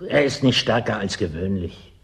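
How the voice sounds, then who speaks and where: An actor in a German radio play as an evasive, pedantic official who stubbornly denies danger. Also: male voice, fairly low pitch, animated, moderate pace, a bit bright. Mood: evasive, dismissive. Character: evasive male settlement bureaucrat, stubbornly denying the danger.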